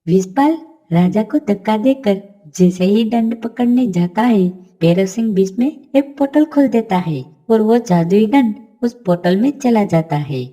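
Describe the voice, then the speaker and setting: A woman's voice: medium pitch, animated, unhurried, warm tone, husky. A woman narrating a scripted children's bedtime fairy tale. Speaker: story narrator.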